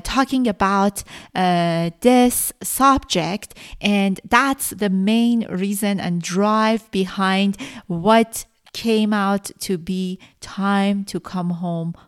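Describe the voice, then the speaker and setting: A middle-aged woman, a native Farsi speaker from Iran, hosting her own podcast and telling her story earnestly with frequent pauses. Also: mid-range voice, smooth. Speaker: podcast host. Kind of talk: solo podcast monologue.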